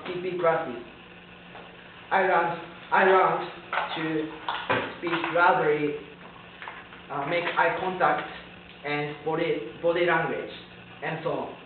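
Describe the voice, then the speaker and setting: Young male student speaker, Japanese accent, slow halting delivery of a formal speech, tinny and very echoey recording. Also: a little high. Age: young adult. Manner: halting, careful non-native phrasing. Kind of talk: prepared commencement speech. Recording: tinny, echoey distant recording with faint noise.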